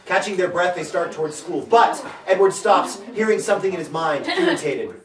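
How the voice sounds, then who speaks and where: A man's voice: sits high, fairly quick, crowd noise. A man giving a jokey amateur dramatic reading of script stage directions, stumbling and breaking off to comment. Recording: roomy, far from the mic, people around. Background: other readers chattering and laughing.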